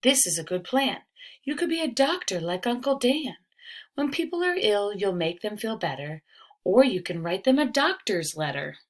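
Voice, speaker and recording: Woman reading as an upbeat, encouraging big cousin, animated. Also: medium pitch, normal speed. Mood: enthusiastic. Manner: reassuring, enthusiastic, rhyming storybook delivery. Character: encouraging, upbeat older male cousin advising a child.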